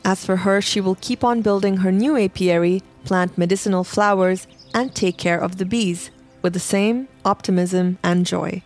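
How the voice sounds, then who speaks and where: A woman's voice: mid-range voice, steady tone, normal speed, a little mellow, soft background music. A woman reads scripted documentary narration as the narrator of a reportage.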